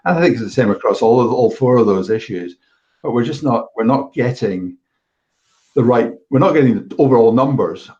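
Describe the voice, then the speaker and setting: A man's voice: mid-range voice, lively intonation, a little fast, a little mellow, muffled recording. A man speaking as a guest in a casual discussion, earnest and often restarting phrases. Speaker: guest, STEM ambassador.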